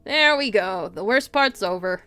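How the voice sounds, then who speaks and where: Girl reading as gruff but kind older guy from a visual novel, caring, music behind. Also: medium pitch, lively intonation, measured pace, sharp tone, no echo. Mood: reassuring. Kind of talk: visual novel read-aloud.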